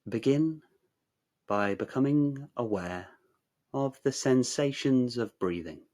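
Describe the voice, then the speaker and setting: A man narrating a guided meditation in a measured, soothing, instructional way, leaving long pauses. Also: medium pitch, expressive, darkish tone.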